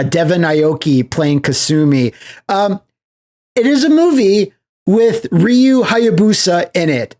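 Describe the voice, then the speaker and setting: A man co-hosting a podcast, casually discussing movies in a halting way, often repeating phrases as he explains. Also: high-pitched, a little mellow. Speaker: podcast co-host.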